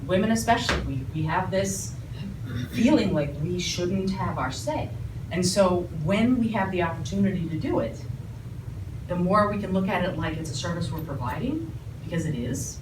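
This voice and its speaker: A woman's voice: on the low side, recorded from a distance. A woman from the former Soviet Union, a public speaking trainer telling stories to a group and acting out other people's lines. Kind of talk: storytelling talk to a group. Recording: echoey room heard from afar, noisy.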